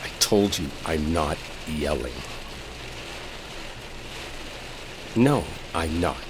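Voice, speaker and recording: A man's voice: rumbling low, expressive, measured pace. A man playing an irritated, sarcastic coworker arguing as he drives, in a roleplay audio drama. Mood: simmering sarcasm. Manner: irritated, sarcastic, swearing, argumentative. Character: exasperated, sarcastic male coworker arguing while driving. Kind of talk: enemies-to-lovers roleplay audio acting. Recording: rain and car noise behind. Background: rain storm and vehicle ambience.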